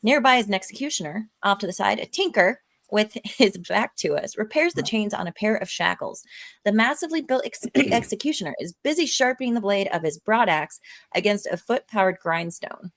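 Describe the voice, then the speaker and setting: A woman's voice: medium pitch, animated, brisk, a bit bright. A woman reading stage directions as narrator in a live amateur table read, with a dramatic storytelling manner.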